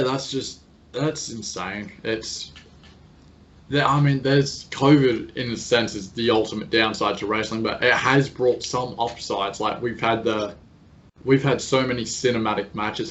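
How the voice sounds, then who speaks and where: An Australian man hosts the interview, chatty and quick with his opinions. His voice sits at a middle pitch. The audio has a dull, lo-fi quality. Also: fairly even intonation, fairly bright tone. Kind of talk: casual interview chat.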